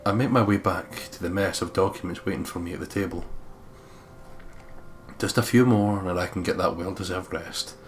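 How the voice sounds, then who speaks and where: Man, low voice, game host, reading visual novel narration, slight background noise. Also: normal speed. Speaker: let's play host.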